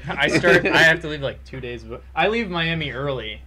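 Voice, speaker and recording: A man's voice: a little high, expressive, moderate pace, a loud crowd. Young man telling a sheepish story. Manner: storytelling, self-deprecating, chuckling. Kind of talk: casual group chat.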